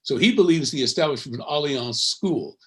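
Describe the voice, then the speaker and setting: Older man, measured lecturing professor. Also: a little high, animated, a bit bright. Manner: measured, lecturing, frequent uh fillers. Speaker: history professor. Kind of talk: academic panel discussion.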